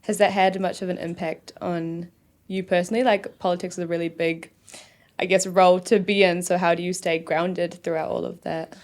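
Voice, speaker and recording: A woman hosting a casual interview, chatty and quick to agree. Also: fairly low pitch, little pitch movement, a little mellow. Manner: chatty, constant agreeing backchannels. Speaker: host.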